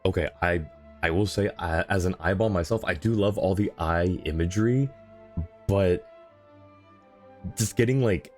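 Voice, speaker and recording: A man's voice: low voice, normal speed, pauses often. A man giving casual live-stream commentary as he plays a video game. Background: video game music.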